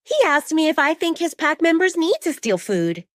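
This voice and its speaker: A woman's voice: high-pitched, very animated, normal speed, fairly bright tone, no room sound, clean studio sound, voice pushed up. A woman voicing a whiny, spoiled young she-wolf daughter in an audio drama, pouting and exaggerated. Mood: indignant.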